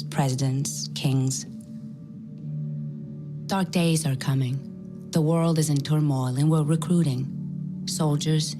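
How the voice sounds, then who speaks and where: An actress playing a cool, authoritative recruiter for a secret society in a video game, speaking in a measured, dry, self-assured way. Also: female voice, sits low. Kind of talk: scripted video game cutscene acting.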